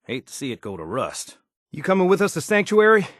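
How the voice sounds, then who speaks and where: A man's voice: on the high side, huge pitch swings, a little fast, a bit bright, no room sound, studio quality, exaggerated voice. An actor playing an easygoing, wry wasteland handyman in a video game, with a folksy, slangy way of talking. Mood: matter-of-fact. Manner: folksy, colloquial, drawling slang. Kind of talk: video game character dialogue.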